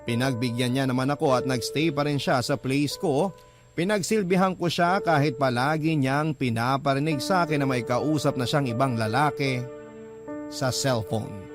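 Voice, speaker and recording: A man's voice: mid-range voice, normal speed, lightly acted. An actor playing a heartbroken, devoted man who narrates his confession in a reflective, sorrowful way for a radio drama. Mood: regretful, sorrowful. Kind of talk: first-person letter narration in radio drama. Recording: prominent music bed under the voice.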